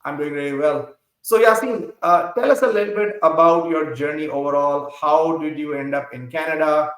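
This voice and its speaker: A man hosting a podcast interview, asking lively, quick questions with fillers. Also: fairly high pitch. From Pakistan. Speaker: podcast host. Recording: echoey room with faint music.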